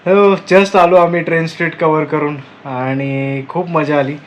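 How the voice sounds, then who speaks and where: A man's voice: on the high side, steady tone, a little slow, faint music behind. A man narrating a travel vlog in a casual, chatty and explanatory way. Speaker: travel vlogger.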